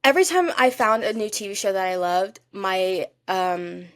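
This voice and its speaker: A woman's voice: medium pitch, expressive, normal speed, no room sound, studio quality. A young woman co-hosting a podcast in casual chat, talking in a gushing, emphatic fan style. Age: young adult. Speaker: podcast co-host.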